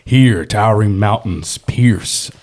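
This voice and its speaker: A man voicing a grand, dramatic nature documentary narrator in a deep, husky voice. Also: takes it slowly, a little mellow. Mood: grand, solemn.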